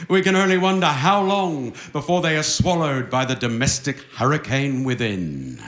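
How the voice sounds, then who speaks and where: An actor playing a wry, gently arch narrator, reading scripted narration in a live radio play. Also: male voice, medium pitch, animated, moderate pace, a little hiss or hum, close to an everyday voice.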